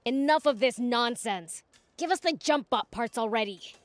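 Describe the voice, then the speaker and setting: A woman's voice: a little high, moderate pace, dry recording, close to an everyday voice. A woman voicing a small, cocky young demon prince in a video game, brash, impatient and bossy. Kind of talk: video game cutscene acting.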